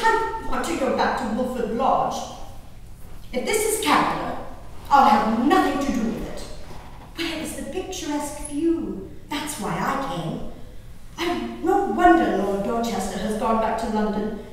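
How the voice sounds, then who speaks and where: Woman acting a high-voiced genteel lady in an echoey hall. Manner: declamatory, drawn-out emphatic phrasing. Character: genteel, dramatic English gentlewoman diarist, easily appalled, enraptured by wild nature. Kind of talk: theatrical dramatic reading of a diary on stage. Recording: echoey hall from far off, faint noise.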